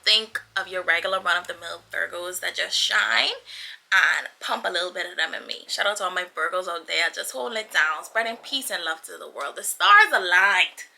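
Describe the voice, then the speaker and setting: A woman's voice: lowish voice, animated, normal speed, gravelly, bright, forceful tone. A woman of twenty-seven from the Bahamas chatting casually to her viewers as a YouTuber, playful, effusive and slangy. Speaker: YouTuber, vlogger.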